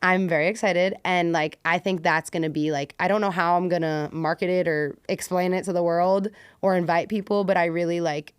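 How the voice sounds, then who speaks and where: A woman's voice: on the low side, fairly even intonation, a little fast, hardly any pauses, clean tone, dry recording, studio quality. A woman guest on a podcast, chatting casually and informally with youthful slang. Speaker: podcast guest, content creator. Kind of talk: casual chat.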